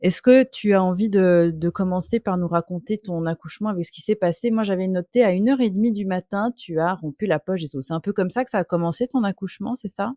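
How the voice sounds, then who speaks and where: A woman's voice: mid-range voice, fast talker, few pauses, warm tone, dry recording, studio quality. A woman hosting an interview, speaking with many hesitant fillers and an empathetic tone. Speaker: podcast host. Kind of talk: interview via video call. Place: hot home office.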